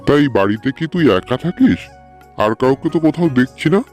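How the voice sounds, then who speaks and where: A man acting as a kindly middle-aged village father. The voice sits at a medium male pitch and belongs to a cartoon folk tale, with soft music underneath. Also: big pitch swings, a little fast, close to an everyday voice. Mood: grateful, kindly. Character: village father and wandering hungry holy man.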